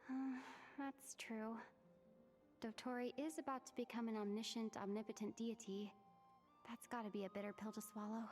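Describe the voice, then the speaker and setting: An actress playing an earnest, determined young heroic traveler in a video game, speaking in a measured way. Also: female voice, fairly high pitch, little pitch movement, a little mellow, faint music behind, lightly acted. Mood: thoughtful.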